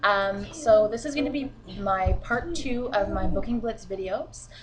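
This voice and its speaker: A woman's voice: medium pitch, animated, a little slow, crowd noise, rough recording. Chatty woman with a sniffly cold. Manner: chatty and encouraging, frequent fillers. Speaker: sales team leader. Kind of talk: casual instructional vlog. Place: at home, children beside her.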